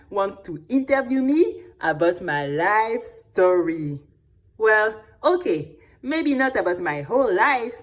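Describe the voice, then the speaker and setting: A woman playing a chatty, excitable teenage girl who tells her own story in a confiding, playful way for an audio drama. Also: on the high side. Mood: excited, confiding.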